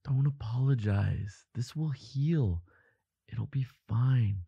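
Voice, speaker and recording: A man acting a devoted, protective boyfriend in an intimate audio roleplay, speaking softly in halting, earnest reassurance. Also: medium pitch, vocal fry, husky, dry recording, lo-fi sound, heavily acted. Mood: insistent, loving.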